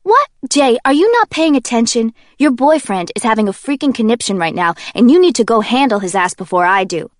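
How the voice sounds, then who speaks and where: A woman voicing a brash, joking young man who is easily indignant, talking loud and high-strung, full of exclamations. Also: very animated, fairly quick, hardly any pauses, dry recording, studio quality.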